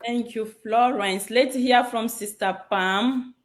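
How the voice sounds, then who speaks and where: A woman hosting an online group call, introducing each speaker in a slow, deliberate way. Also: fairly high pitch, slight background noise. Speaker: host.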